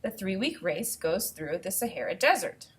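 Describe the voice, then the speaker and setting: A woman teacher reading aloud to children and thinking out loud, explaining things step by step in an instructive way. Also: mid-range voice, sing-song, moderate pace. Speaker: elementary school teacher.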